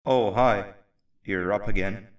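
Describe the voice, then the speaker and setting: A man's voice: lowish voice, takes it slowly, recorded from a distance. A man voicing a friendly, easygoing creature companion in a video game, talking in a casual, kindly way. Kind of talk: video game character acting.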